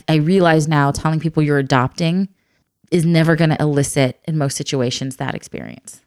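Low, smooth-voiced woman talking candidly. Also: no room sound, studio quality. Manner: earnest, reflective, soft-spoken. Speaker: adoptive mother and guest. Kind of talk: candid conversational chat.

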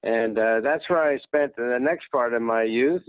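A man's voice: mid-range voice, measured pace, clean tone, no room sound. Retired general telling his story by phone. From United States. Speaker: guest. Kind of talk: casual interview talk. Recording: tinny phone line, dry.

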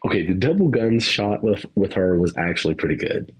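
A man's voice: sits low, lively intonation, brisk, slightly rough, poor audio. A man co-hosting a podcast, rambling through a movie review in casual chat, laughing and swearing now and then. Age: adult parent. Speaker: podcast co-host.

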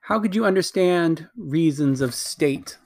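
A man's voice: fairly high pitch, animated, a little slow, vocal fry, a little mellow, pitched above the performer's own voice, heavily acted. Jadis, a cold proud queen, as a man reads her. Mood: imperious. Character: proud imperious queen, ruthless, grand.

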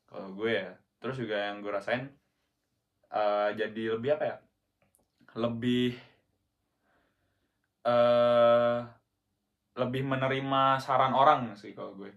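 A man's voice: medium pitch, flat delivery, takes it slowly, long pauses, narrow, tinny audio. A young man from Indonesia, around twenty, chatting casually as a podcast co-host in slangy, rambling speech full of restarts. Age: young adult. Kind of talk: casual chat.

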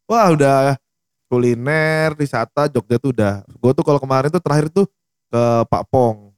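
A man's voice: mid-range voice, clear, resonant tone, dry recording, clean studio sound. A young man chatting casually and joking with friends in slangy talk. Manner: laid-back, slangy, quick to laugh. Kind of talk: casual chat.

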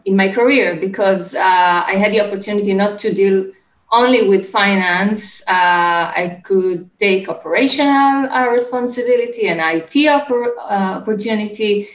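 A middle-aged woman with an Israeli accent, answering interview questions conversationally in a halting, searching way. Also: medium pitch, reverberant. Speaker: interview guest, startup executive. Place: home office, remote call.

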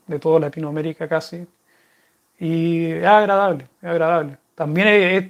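High-voiced chatty Chilean man. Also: fairly even intonation, moderate pace. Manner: rambling, restarts often, chatty and friendly. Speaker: vlogger answering viewer questions. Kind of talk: casual chat to camera.

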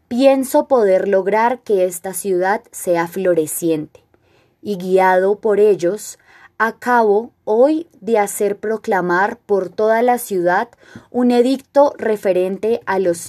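Woman doing a proud stern king in an old greek tragedy, real slow n solemn, declaiming every line. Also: medium pitch, darkish tone, close to an everyday voice. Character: stern, proud male king addressing elders. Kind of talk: classical tragedy monologue, recited.

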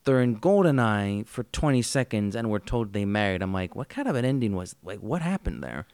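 A man co-hosting a podcast, chatting casually about a TV miniseries with quick asides and easy laughs. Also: lowish voice, sing-song, a little mellow, dry recording. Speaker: podcast co-host. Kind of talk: casual review chat.

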